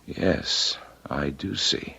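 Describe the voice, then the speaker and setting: Earnest, husky theater aide. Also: male voice, very deep voice, drawn-out words, pushed and bright, heavily acted. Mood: gentle, concerned. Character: earnest male theater aide, solicitous and eager. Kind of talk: old-time radio drama acting. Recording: muffled old radio recording.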